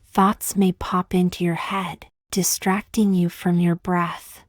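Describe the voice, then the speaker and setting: Soft, low-pitched woman guiding meditation. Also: little pitch movement, no echo, clean studio sound. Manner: slow, gentle and soothing, with long pauses. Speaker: meditation guide. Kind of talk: scripted guided meditation narration.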